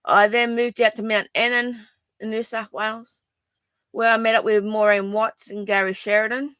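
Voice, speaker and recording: A woman's voice: a little high, flat delivery, moderate pace, a bit bright, smooth, no echo. Rambling defensive Australian woman. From Sydney, Australia. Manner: rambling and defensive, many ums and restarts. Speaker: vlogger. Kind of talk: personal video statement to viewers.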